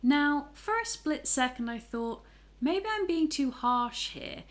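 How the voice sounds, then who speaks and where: A woman's voice: high-pitched, expressive, takes it slowly. A woman reading aloud and commenting as a casual vlog host, with a wry, sarcastic manner. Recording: echoey room.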